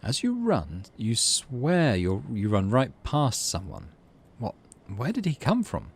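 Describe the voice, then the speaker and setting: A man's voice: medium pitch, huge pitch swings, slow, breathy edge. A middle-aged man hosting a let's play, reading game text expressively and making casual muttered asides to himself. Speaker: gaming channel host.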